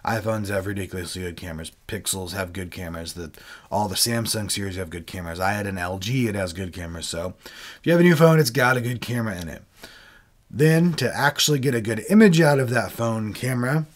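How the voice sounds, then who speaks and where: A man who is a bit sick, his voice lower than usual, explaining his video setup in a relaxed, chatty livestream. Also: fairly quick. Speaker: video creator and worship leader hosting a livestream. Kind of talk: casual how-to livestream talk. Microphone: desk microphone, webcam video.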